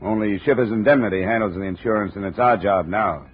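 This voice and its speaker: An actor playing a wry, matter-of-fact insurance investigator who narrates and questions people in a 1950s radio drama. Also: male voice, fairly low pitch, fast, husky. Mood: questioning. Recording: dull old radio transcription.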